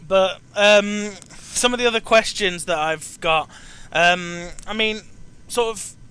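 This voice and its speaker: A man's voice: high-pitched, takes it slowly, pushed and bright, clear, resonant tone, rough recording. A man hosting a casual chat about video games, stammering and often repeating the starts of his phrases. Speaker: host interviewing a guest.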